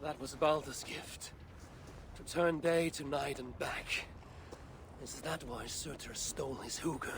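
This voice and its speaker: An actor playing an imperious, vengeful Norse god warrior in a video game, speaking in terse, formal, old-fashioned demands. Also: male voice, medium pitch, slow pace. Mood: grim, intent. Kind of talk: dramatic video game acting. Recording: clearly noisy game cutscene audio.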